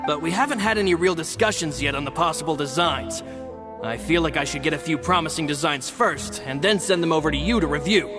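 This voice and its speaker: A man's voice: a little high, brisk, natural voice. An actor voicing a loyal, formal young male assistant in a game cutscene, speaking politely and respectfully. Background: game soundtrack music.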